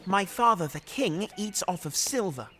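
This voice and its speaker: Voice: mid-range voice, animated, normal speed, bright, forceful tone. An actor playing a haughty, weary young royal boy in an audio drama, with formal, precious phrasing. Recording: clearly noisy track with outdoor ambience.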